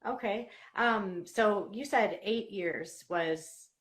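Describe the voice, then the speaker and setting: A woman's voice: mid-range voice, slow. A woman hosting a live online interview in a conversational style.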